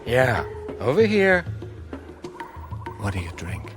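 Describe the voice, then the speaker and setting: An actor playing a suave, roguish gambler and cheat in a radio drama, with an insinuating, knowing delivery. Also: male voice, mid-range voice, wildly swooping pitch, takes it slowly. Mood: smooth, seductive. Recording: music playing behind the voice.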